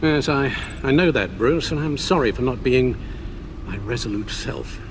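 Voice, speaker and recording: Guy playing an old british butler, worried and proper, voice moves around a lot, game dialogue w/ background rumble. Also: animated, normal speed, lightly acted. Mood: sorrowful, shaken. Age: older. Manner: formal, careful, emotional. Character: anxious, caring, refined elderly male butler. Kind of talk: video game voice acting.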